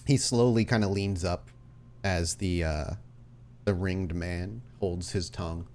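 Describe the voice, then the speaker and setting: Male game master, low voice, deliberate and dramatic narration of a dark tabletop story, some noise behind. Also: fairly even intonation. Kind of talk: narrating a tabletop horror roleplay.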